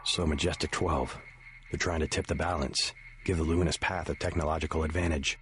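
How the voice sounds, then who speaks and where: Very deep, stoic American agent. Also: male voice, brisk, faint music behind. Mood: probing. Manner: flat, deadpan, terse. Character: stoic young American male government agent, serious and clipped. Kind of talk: video game voice acting.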